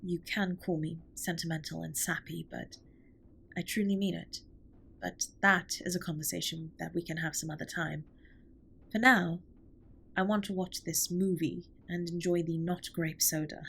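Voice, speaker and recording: A woman's voice: on the low side, fairly even intonation, moderate pace, vocal fry, fairly bright tone, slight background noise. A woman playing a formal, reserved but tender alien spaceship captain in a scripted comfort audio roleplay, speaking with precise, stilted politeness. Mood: sincere, tender.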